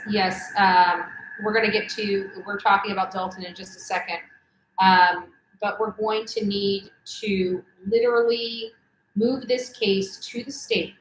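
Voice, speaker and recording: A woman hosting a casual livestream commentary, speaking emphatically and repeating phrases for emphasis. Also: mid-range voice. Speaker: livestream host. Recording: echoey room.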